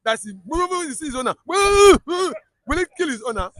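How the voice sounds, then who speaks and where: A Nigerian man with a Yoruba accent delivers heated political commentary in a high voice. He talks emphatically, repeating words and restarting sentences. The sound is close and dry. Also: big pitch swings, normal speed, a bit bright, no room sound. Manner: emphatic, repetitive, stumbles and restarts often.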